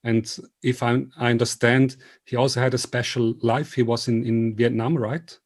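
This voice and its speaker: Swiss man, earnest and halting interviewer. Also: mid-range voice, flat delivery. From Switzerland. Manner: earnest, polite, halting speech. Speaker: interviewer and video series host. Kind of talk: informal remote interview.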